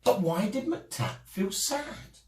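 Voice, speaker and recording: Animated male storyteller, slow and swooping. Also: high-pitched, a little hiss or hum. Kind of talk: expressive rhyming children's story narration. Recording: echoey room.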